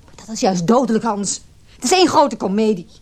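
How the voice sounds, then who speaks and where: An actress playing a conflicted but resolute forty-year-old wife in a radio drama, earnest and measured. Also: female voice, a little high, animated, darkish tone. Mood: bitter, resolute. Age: middle-aged. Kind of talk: radio drama acting with reflective narration.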